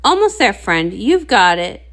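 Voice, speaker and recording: A woman's voice: medium pitch, very animated, unhurried, fairly bright tone. A woman voicing a strong, easygoing male pony digger with a modest, friendly manner for a storybook. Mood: easygoing, encouraging.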